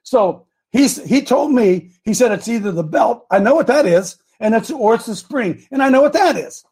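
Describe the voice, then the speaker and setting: An American man with a raspy voice hosting a religious talk show, chatting casually in a folksy, animated way and telling rambling personal stories. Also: very high-pitched, moderate pace. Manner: folksy, animated, repeats phrases for emphasis.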